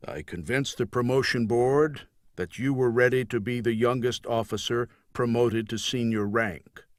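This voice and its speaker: A man voicing a stern, unforgiving duke and army commander in an audiobook, speaking formally and deliberately. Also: medium pitch, no echo, clean studio sound, close to an everyday voice. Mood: stern, bitter. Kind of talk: audiobook character dialogue.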